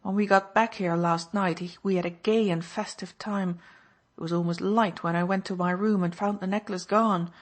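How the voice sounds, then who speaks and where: A woman's voice: on the low side, fairly even intonation, normal speed, lightly acted. A woman voicing an overwrought baroness who talks indignantly. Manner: agitated, indignant.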